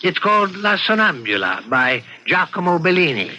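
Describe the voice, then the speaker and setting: Bright, fussy old husband. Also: male voice, high voice, very animated, normal speed, heavily acted. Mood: fussy, genial. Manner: pedantic, hesitant, fussily polite. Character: fussy, doting, pedantic seventy-year-old husband. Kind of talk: old-time radio drama acting. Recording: dull, faintly noisy vintage radio recording.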